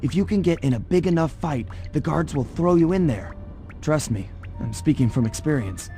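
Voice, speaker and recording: An actor playing a cocky young raccoon master thief in a video game, smooth and easygoing. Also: male voice, fairly high pitch, expressive, moderate pace. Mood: assured. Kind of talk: animated video game cutscene acting. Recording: loud music under the voice. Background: game music.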